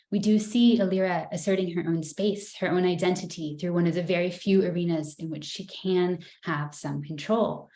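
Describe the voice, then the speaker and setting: A woman with a fairly low voice presents her research in a webinar, reading from a script. She tells it in a measured, story-like way. Her voice carries a noticeable room echo. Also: a little mellow. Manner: measured, storytelling delivery with occasional light laughs. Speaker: researcher giving a webinar talk.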